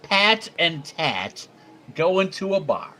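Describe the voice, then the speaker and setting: Lively, stammering male co-host. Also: a little high, takes it slowly. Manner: stammering, jokey, self-deprecating. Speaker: co-host of a music video show. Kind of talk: casual live-stream chat.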